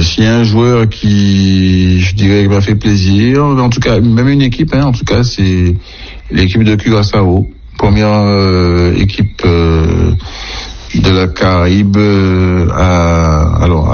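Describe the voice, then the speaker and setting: A man with a deep, creaky voice gives his casual opinion. He hesitates and pauses to search for words, and the recording sounds slightly muffled. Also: a little mellow. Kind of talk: casual opinion, answering a question. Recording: dull lo-fi sound.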